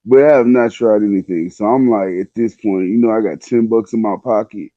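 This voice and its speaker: Man, lowish voice, casual slangy storytelling, laid-back, dull lo-fi recording. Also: fairly quick, warm tone, no room sound. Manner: laid-back, conversational, acts out quoted dialogue. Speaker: podcast guest telling a personal story.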